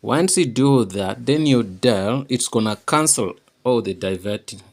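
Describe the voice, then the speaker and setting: A man giving an informal, unscripted how-to demonstration, halting and repeating himself as he explains each step. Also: mid-range voice, lively intonation. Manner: halting and repetitive, often checking understanding. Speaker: tutorial presenter.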